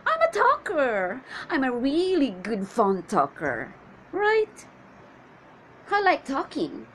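A woman performing a comedic monologue as a needy, chatty woman waiting on a suitor, with theatrical exasperation and drawn-out questions. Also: sits high, huge pitch swings, a little hiss or hum, heavily acted. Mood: chatty, exasperated.

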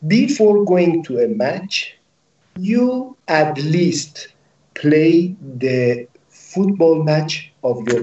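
Muffled, roomy video-call audio carries a man in his forties with a Persian accent. He is a native Persian speaker who explains things slowly and deliberately in halting English, as an executive being interviewed. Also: a little high, dark tone, far from the mic. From Iran. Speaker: company managing director, interview guest. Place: indoor office room with hard ceiling. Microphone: video call, laptop at desk distance.

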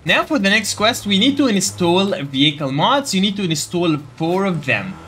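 A man's voice: high voice, sing-song, normal speed, sharp tone, a little hiss or hum. A man giving a casual gameplay tutorial, explaining steps directly to viewers. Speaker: gaming video creator giving a quest guide.

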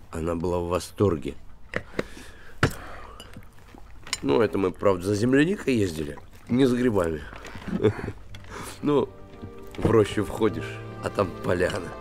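An actor in his thirties playing a gruff, warm-hearted small-town handyman, lovesick and bitter, talking in blunt colloquial speech in a naturalistic TV drama. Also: low-pitched. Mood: fond, wistful. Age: 30s. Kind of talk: naturalistic television drama acting. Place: small wooden house room.

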